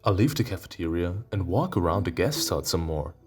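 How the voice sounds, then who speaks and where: A man playing a visual novel, reading its text aloud and breaking into excitable, playful reactions. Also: low-pitched, fairly quick. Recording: music behind the voice. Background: game music with plucked guitar.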